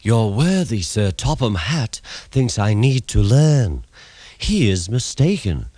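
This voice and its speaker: A boastful, scheming diesel engine from a children's storybook, acted out by a male reader. The voice is pompous and easily offended, swinging widely in pitch, in a dry, close recording. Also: sing-song, slow, warm tone, no room sound, full character voice. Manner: pompous, indignant.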